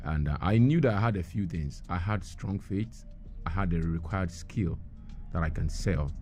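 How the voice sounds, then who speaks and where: A very deep, mellow-voiced middle-aged man who grew up in Nigeria speaks as a guest on a radio interview. He tells his story earnestly and at length, often pausing on ums and restarting his sentences. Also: soft background music. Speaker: guest entrepreneur.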